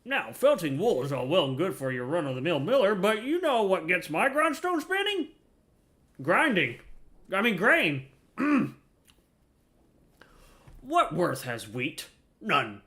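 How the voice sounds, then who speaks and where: A man's voice: high voice, sing-song, slow pace, long pauses, fairly bright tone, exaggerated voice. A man voicing a blustering, impatient miller obsessed with the craft, in grandiose fantasy game dialogue. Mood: passionate. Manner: grandiose, declamatory.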